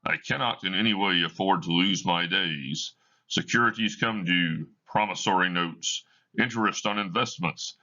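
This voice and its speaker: A male teacher reading a play aloud for a class, explaining slowly and deliberately. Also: sits low, bright, forceful tone, dull audio.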